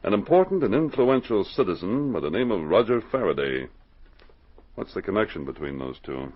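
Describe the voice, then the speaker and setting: Casting: dutiful Mountie sergeant, 1940s radio drama; deep, animated, on a dull vintage recording. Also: male voice, fairly quick. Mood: resolute. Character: steady, resolute Mountie sergeant. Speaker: police sergeant character.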